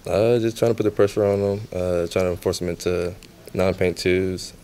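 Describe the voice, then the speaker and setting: A young man, a basketball player, giving low-key, halting post-game answers in a locker room, with many fillers. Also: fairly even intonation, warm tone, slight background noise. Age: young adult.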